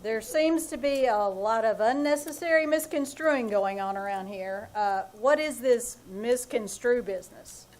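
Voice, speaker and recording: Woman doing a dry sarcastic husband in an old-time radio comedy, high voice, totally deadpan. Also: measured pace, hardly any pauses, lightly acted. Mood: wry. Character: dry, put-upon middle-aged businessman husband. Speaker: lead in a radio play. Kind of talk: 1940s radio comedy acting. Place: live theater stage.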